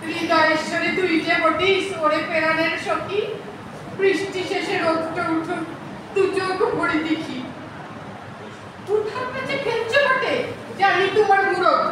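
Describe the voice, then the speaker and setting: A very high-pitched woman with a West Bengal Bengali accent recites folksy dialect verse dramatically. The sound is distant and heavily echoed, with clear noise in the hall. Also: unhurried. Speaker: workshop participant.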